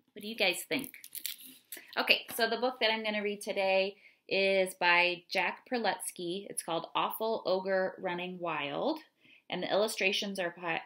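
A woman in her thirties reading children's poems aloud and chatting casually, in an unhurried, deliberate way. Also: mid-range voice, bright, forceful tone. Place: small home room, indoors. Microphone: webcam or laptop mic at desk distance.

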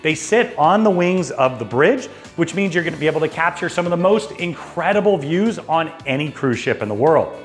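A man's voice: on the high side, expressive, normal speed, few pauses. A man in his forties hosting a travel show, talking to camera in an upbeat, salesy way with personal asides, on a lapel mic in a studio. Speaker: travel agency host. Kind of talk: enthusiastic cruise overview to camera. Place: dark studio backdrop, indoors. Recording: faint background music.

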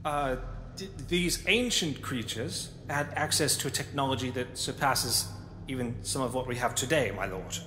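A man's voice: mid-range voice, normal speed, bright, forceful tone, a little hiss or hum, close to an everyday voice. A man playing a deferential, nervous military commander in an audio drama, with a hesitant, stammering manner. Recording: echoey cavern reverb, music behind.

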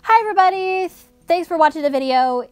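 High-pitched, animated woman host. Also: brisk, faint music behind. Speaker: video creator and host. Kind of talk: casual video narration.

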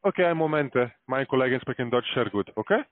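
A man on a dry, tinny phone line, with a mid-pitched voice. German is not his first language, and he speaks it haltingly, searching for words. The line sounds thin, with no room. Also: lively intonation, no echo. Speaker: scam call-center agent.

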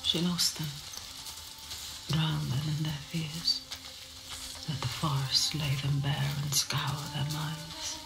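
A woman voicing a grave narrator of a dark myth in a video game, solemn and measured, in poetic dramatic narration with a creaky low voice. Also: little pitch movement, heavily acted. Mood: ominous. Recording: echoing voice over game music.

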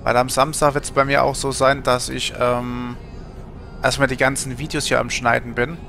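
Male streamer, thirties, forceful voice with plenty of fry, rambling and colloquial game chat. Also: medium pitch, level tone, normal speed, soft background music. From Germany. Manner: rambling, colloquial, thinking aloud. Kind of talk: casual livestream chat while gaming.

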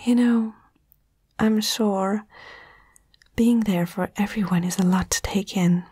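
Breathy, whispering woman, soothing and slow. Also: mid-range voice, steady tone, mellow, no room sound, clean studio sound. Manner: soothing, tender, reassuring. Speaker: ASMR roleplay performer. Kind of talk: whispered ASMR comfort roleplay. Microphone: close microphone.

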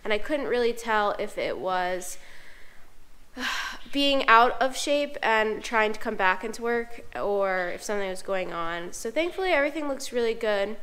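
A woman's voice: a little high, expressive, a little slow, a bit bright, clean tone. A young woman vlogging casually to a handheld camera, a little congested from a cold, chatty and upbeat with frequent ums, first in a car and then in a horse barn. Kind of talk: casual vlog chat to camera. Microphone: camera held at arm's length.

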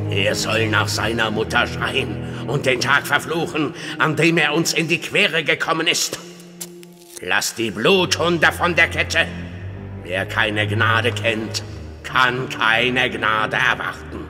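Secret-society grandmaster: menacing, scornful, commanding villain for audio drama, echoing hall, background music. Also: male voice, medium pitch, slow pace, pushed and bright. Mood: vengeful, menacing. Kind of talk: German audio drama acting. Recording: reverberant hall, music behind.

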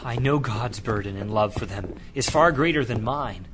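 An actor playing a devout, burdened missionary preacher in an audio drama, grave and fervent in manner. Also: male voice, medium pitch, moderate pace, background noise, natural voice.

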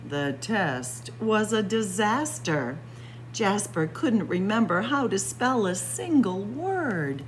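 Librarian, woman, slow and theatrical read-aloud, wide-ranging lowish voice, faint hum behind. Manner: dramatic, expressive storytelling with spooky whispers. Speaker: children's librarian. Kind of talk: picture book read-aloud. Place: library with bookshelves.

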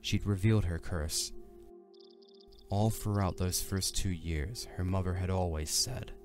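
A man giving a measured, serious narration of a scripted dramatic story. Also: low-pitched, over music.

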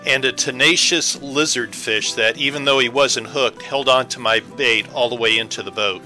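A man's voice: mid-range voice, moderate pace, bright, forceful tone, soft background music. A man giving scripted voice-over narration as an angler recounting his fishing trip.

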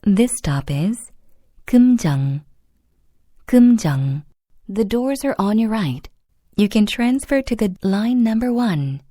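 A woman reading scripted subway announcements in a formal, deliberate manner. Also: medium pitch, animated, warm tone, no room sound, clean studio sound. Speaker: English train announcer.

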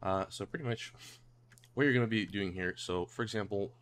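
A man narrating a casual software tutorial in a hesitant, rambling way, repeating himself. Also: fairly low pitch, a little fast. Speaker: tutorial presenter. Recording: home mic with clear background noise.

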